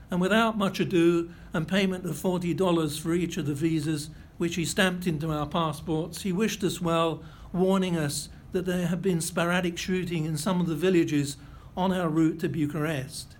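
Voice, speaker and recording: An older man giving a lecture, reading out a first-hand account in a measured, precise way with a few self-corrections. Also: high voice. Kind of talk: reading a prepared memoir-style lecture.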